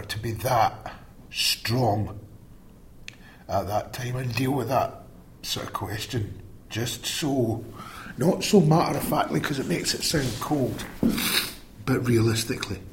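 A man speaking earnestly and reflectively, sharing personal memories in an interview. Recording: low rumbling background noise.

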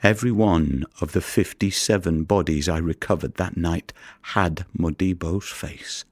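An actor playing a haunted fisherman in an audio play, telling his story intensely and haltingly in a gravelly, breathy voice. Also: low voice, fairly even intonation, dry recording, clean studio sound. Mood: anguished. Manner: intense, halting storytelling that drops toward whispers. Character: haunted fisherman recounting a rescue at sea. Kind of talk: dramatic monologue in an audio play.

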